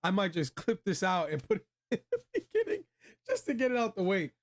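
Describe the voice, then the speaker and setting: A man with an American accent and a voice a bit above average in pitch. He hosts a relaxed film review, speaking in loose slang with plenty of enthusiasm. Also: brisk. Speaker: host of a movie review show. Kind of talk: casual chat, film review.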